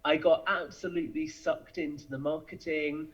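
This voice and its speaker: Higher-voiced young British man, slightly muffled audio. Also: flat delivery, moderate pace. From United Kingdom. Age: young adult. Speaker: podcast guest. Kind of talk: casual chat.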